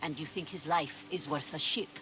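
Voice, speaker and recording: An actress playing a proud, commanding pirate leader in a video game, speaking formally and imperiously. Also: female voice, low-pitched, moderate pace, noisy background, close to an everyday voice. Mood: alarmed, scolding. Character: commanding, proud female pirate leader who covets treasures. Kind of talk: video game cutscene dialogue acting.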